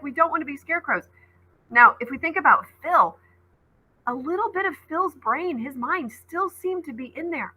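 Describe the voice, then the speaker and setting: A woman's voice: a little high, pushed and bright, slightly rough, poor audio. A woman teacher reading a story aloud dramatically, with conversational asides to explain it.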